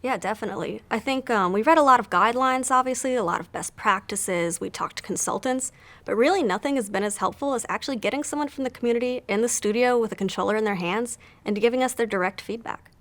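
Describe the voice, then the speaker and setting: A woman game producer explaining her work in an interview in a clear, organized way. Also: a little high, quick pace, fairly bright tone. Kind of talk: interview answers.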